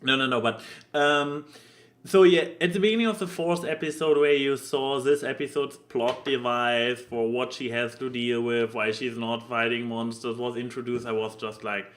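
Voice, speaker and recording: A man co-hosting a podcast, talking casually and opinionatedly about cartoons in a halting way, repeating words as he makes his point. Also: mid-range voice, steady tone, a bit bright. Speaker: podcast co-host.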